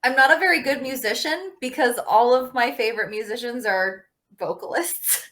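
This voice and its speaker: Woman, podcast guest, casual chat, hesitant, flustered exclamations, slightly high pitch. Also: moderate pace, a bit bright. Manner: flustered and self-deprecating, hesitant restarts, exclamations. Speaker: podcast guest, metal band member.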